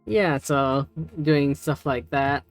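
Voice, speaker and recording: A woman's voice: a bass voice, slow pace. A woman talks casually as a tutorial co-host who is still learning. Her delivery is easygoing and a little hesitant, and faint music plays behind her. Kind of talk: casual chat, tutorial recap.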